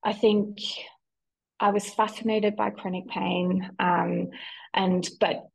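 An Irish woman from Ireland, a guest on an interview podcast, speaking in a reflective, softly hesitant way. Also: mid-range voice, level tone, slow, gravelly, slightly rough, low-quality recording. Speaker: guest, physiotherapist and PhD student.

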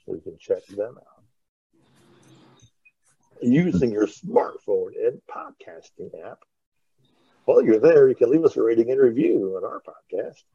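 A man hosting a podcast in a halting, offhand, casual chat. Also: medium pitch, big pitch swings, warm tone, breathy edge, dry recording, lo-fi sound. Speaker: podcast host.

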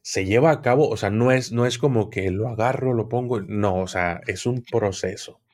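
A man's voice: fairly low pitch, normal speed, a little mellow. A man who is an occultism expert guest, explaining at length in an interview and often repeating words while he searches for them.

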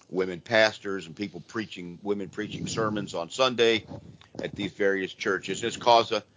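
A hoarse man with laryngitis hosts a live call-in radio show, talking in a casual, rambling way with frequent restarts. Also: fairly low pitch, fairly bright tone. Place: church. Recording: dull lo-fi radio audio with faint noise.

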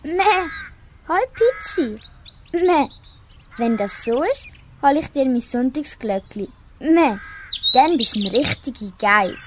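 A woman's voice: sits high, lively intonation. A young girl with a Swiss German accent playing a kindly big bleating goat in an amateur school radio play. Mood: friendly. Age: child or young teen. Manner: slow, bleating between phrases. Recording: clear background noise.

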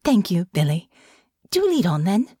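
Woman doing a polite young lady of rank, lively lilting voice, close and dry. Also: mid-range voice, normal speed, no room sound. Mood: gracious. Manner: refined, courteous diction. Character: poised young noblewoman, polite and gracious. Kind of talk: audiobook character voice.

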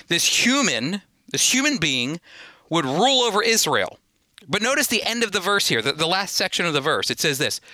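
Very bright, forceful male Bible teacher. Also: sits high, no echo. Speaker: Bible teacher and show host. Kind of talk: teaching sermon with scripture readings.

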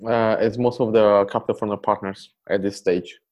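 Halting, muffled low-voiced man. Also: fairly even intonation, a little mellow. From Poland. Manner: halting, many fillers and restarts. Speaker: crypto fund investor guest. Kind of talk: interview answers.

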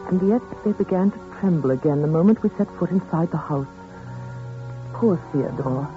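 A woman playing an earnest, tender and troubled woman with a husky voice in a 1940s radio suspense drama. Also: on the low side, lively intonation, moderate pace, faint music behind, natural voice. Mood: troubled, gentle. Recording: tinny, band-limited 1940s radio recording.

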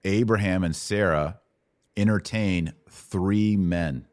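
Male podcast host, low pitch, dry sound, colloquial explainer of religious questions. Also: takes it slowly, no room sound. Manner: explanatory, colloquial, emphatic repetition. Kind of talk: informal Bible question-and-answer teaching.

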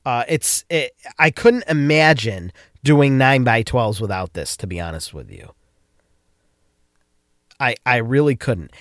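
A man's voice: medium pitch, animated, measured pace, no room sound, clean studio sound. A man with a stuffed-up nose from a cold, hosting a casual solo podcast and rambling with frequent restarts. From upstate New York. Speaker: podcast host.

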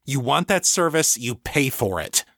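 A man's voice: medium pitch, sing-song, a little slow, fairly bright tone, dry recording, pitched above the performer's own voice, full character voice. A reasonable male peacemaker as a man narrating puts him on in an audiobook story. He speaks firmly and pleadingly, like a protective friend, recorded very dry.

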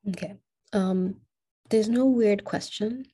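A young woman from Kenya with a slightly Americanized Kenyan English accent, speaking in a measured, reflective way as a guest in an interview. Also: mid-range voice, warm tone. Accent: Kenyan English, partly Americanized. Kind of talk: personal interview.

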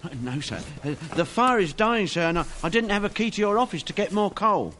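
An actor playing a meek, anxious clerk in a radio drama, hesitant and deferential. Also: male voice, sits high, expressive, brisk, fairly bright tone, a little hiss or hum. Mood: nervous. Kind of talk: family radio drama acting.

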